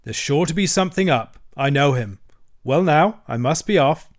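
A man voicing a shrewd, gruff male animal in a storybook, speaking in an emphatic, knowing way. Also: a little high, expressive, normal speed, close to an everyday voice. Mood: knowing, warning. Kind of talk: storybook character acting.

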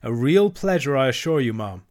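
A man's voice: mid-range voice, expressive, moderate pace, a little mellow, natural voice. A man voicing a boastful male toad disguised as a washerwoman, in a raised put-on voice, airy and bragging. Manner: airy, bragging, then nervous.

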